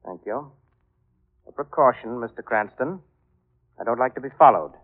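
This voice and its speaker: Soft-spoken male villain, courteous and deadly, mock-regretful; old band-limited radio sound. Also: medium pitch, animated, narrow, tinny audio. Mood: coolly threatening. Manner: politely menacing, mock-regretful, deliberate. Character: soft-spoken menacing villain, male, who kills by touch. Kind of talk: 1940s radio drama acting.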